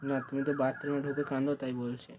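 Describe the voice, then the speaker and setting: A man's voice: medium pitch, flat delivery, quick pace, noisy background. A young man in a casual phone conversation, coaxing and repeating himself as he pleads. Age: young adult. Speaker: prank caller.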